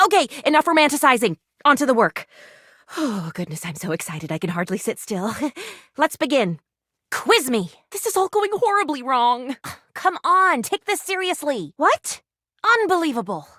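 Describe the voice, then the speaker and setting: A woman's voice: high-pitched, pushed and bright, no room sound, clean studio sound, exaggerated voice. A woman voicing an eager, theatrical first-aid kit in a video game, prim and chirpy with old-fashioned, formal phrasing. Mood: excited, eager, then flustered. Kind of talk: video game character voice acting.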